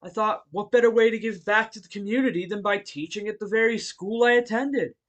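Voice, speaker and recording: A man voicing a friendly, bookish martial-arts hero and teacher in a fan audio roleplay, speaking politely to the listener with hesitant pauses. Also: high voice, steady tone, fairly bright tone, dull audio. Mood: modest, friendly. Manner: polite, chuckling, hesitant pauses between phrases.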